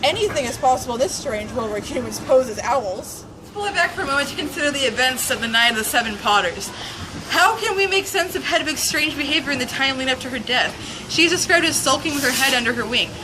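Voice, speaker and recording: A woman's voice: high voice, animated, fast. A woman giving a playful, mock-serious dramatic reading of a humorous essay. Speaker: reader of a fan zine. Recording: noisy outdoor urban recording with traffic.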